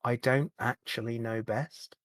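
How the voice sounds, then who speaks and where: A man, a podcast guest, talking candidly in a halting way, often repeating words and starting over. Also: medium pitch, fairly even intonation, a bit bright, dry recording, studio quality. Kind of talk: candid conversational chat.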